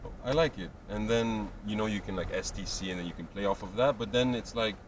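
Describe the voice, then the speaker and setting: A young man from Montreal with a fairly low voice, talking loosely and looping back on his words. He sits a little away from the mic. Loud traffic and city noise run behind him outdoors. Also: quick pace, recorded from a distance, rough recording. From Montreal, Canada. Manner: laid-back, rambling, many fillers and restarts. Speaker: guest rapper. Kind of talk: casual interview chat.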